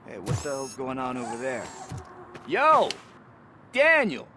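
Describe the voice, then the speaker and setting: A man's voice: mid-range voice, huge pitch swings, a little slow. An actor playing a scolding, streetwise policeman in a video game. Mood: scolding, alarmed. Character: scolding, streetwise adult cop. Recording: loud street and vehicle noise behind.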